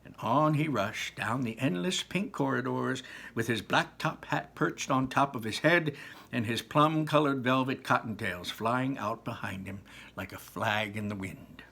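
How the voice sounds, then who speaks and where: A man's voice: mid-range voice, measured pace, gravelly, fairly bright tone, slight background noise. A man reading a children's book aloud, telling the story expressively with small slips now and then. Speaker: reader.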